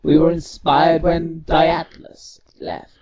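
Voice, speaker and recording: An actor playing a proud, bitter robot civilian leader in an audio drama, with formal, accusatory speech. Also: male voice, on the high side, flat delivery, slow pace, darkish tone. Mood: bitter, accusing.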